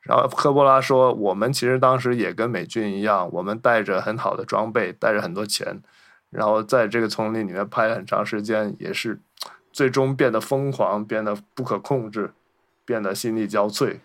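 A man's voice: lowish voice, normal speed, fairly bright tone. Man hosting a casual film podcast. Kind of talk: casual film discussion, anecdotes.

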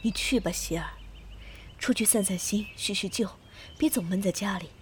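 A woman's voice: mid-range voice, moderate pace, a little hiss or hum, lightly acted. A woman playing a caring middle-aged mother and mistress of the house in a Chinese period audio drama. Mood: caring, composed.

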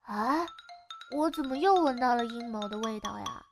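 A woman's voice: a little high, animated, normal speed, soft background music. A woman playing a childlike, melodramatic, clingy young cafe girl in a Chinese audio drama. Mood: mock accusing. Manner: whiny, theatrical, playful.

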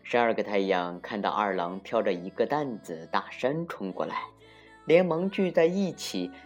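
Very low-voiced woman, animated storyteller. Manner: animated, deliberate, dramatic emphasis. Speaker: children's storyteller. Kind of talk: expressive children's folktale narration. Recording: muffled lo-fi recording with music.

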